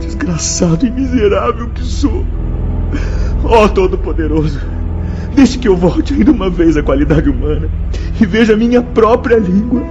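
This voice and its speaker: A man's voice: high-pitched, expressive, moderate pace. An actor playing a repentant man's spirit, sobbing and pleading for mercy in a radio drama. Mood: despairing. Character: anguished repentant man spirit begging mercy. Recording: dull lo-fi sound under loud music.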